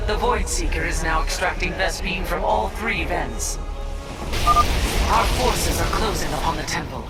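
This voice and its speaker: An actor voicing a noble, battle-eager alien warrior in a video game, speaking formally and proudly. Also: male voice, high voice, unhurried, recorded from a distance, over loud music. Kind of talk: dramatic video game voice acting.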